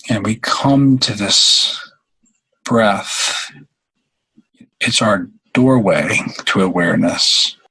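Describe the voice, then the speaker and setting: A man leading a guided meditation in a halting, unhurried way, with long pauses between phrases. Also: on the low side, pushed and bright, breathy edge, low-quality recording.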